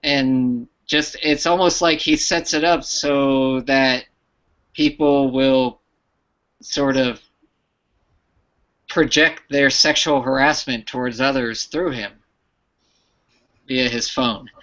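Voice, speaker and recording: Man, casual stream host, bright tense voice, rambling, lo-fi audio. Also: mid-range voice, fairly even intonation, slow pace, pauses often. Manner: chatty, rambling with restarts. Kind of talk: casual chat.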